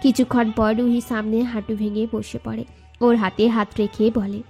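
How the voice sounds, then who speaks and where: A woman's voice: a little high, level tone, moderate pace, warm tone, breathy edge. A woman narrator reading a scripted romantic story. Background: background music.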